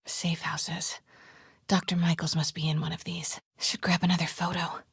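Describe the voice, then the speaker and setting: Brave young reporter gal from a video game, super breathy hushed voice, close and dry. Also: female voice, lowish voice, flat delivery, normal speed, no room sound. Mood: hushed, tense. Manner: hushed, often whispering to herself. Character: determined young woman reporter, brave and sharp-witted.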